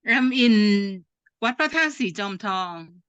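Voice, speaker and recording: A woman's voice: mid-range voice, lively intonation, takes it slowly, a bit bright, clean tone, no echo, lo-fi sound. A woman with a Thai accent, a Buddhist nun answering as a student in an online class. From Bangkok, Thailand.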